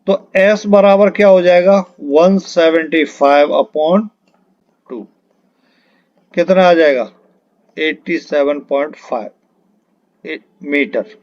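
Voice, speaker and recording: Slow, high-voiced man teaching. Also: a little mellow, lo-fi sound. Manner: explanatory, repeats key terms and checks understanding. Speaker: physics teacher for exam preparation. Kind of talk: step-by-step lesson working through questions.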